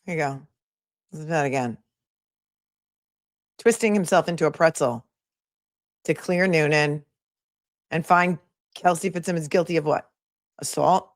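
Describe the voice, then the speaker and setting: A woman with a deep-set voice comments on a trial as a livestream host. Her delivery is casual and skeptical, full of opinionated asides, and the sound is dry with very little room in it. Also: a little slow, pauses often, dry recording.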